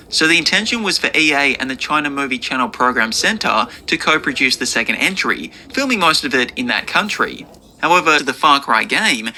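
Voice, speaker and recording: A man narrates scripted entertainment news in a very bright, crisp-edged voice. His pitch is slightly high and moves in lively swings through each line. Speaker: video narrator.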